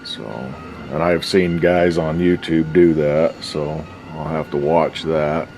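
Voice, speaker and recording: Very deep-voiced man, casual workbench talk. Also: monotone, takes it slowly, background noise. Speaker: hobbyist radio restorer and video host. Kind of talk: casual unscripted workbench commentary.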